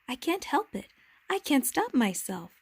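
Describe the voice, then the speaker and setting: A woman voicing a timid, earnest and curious little boy prince, speaking softly, hesitantly and politely. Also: sits high, expressive, normal speed, voice pushed up. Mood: embarrassed, abashed.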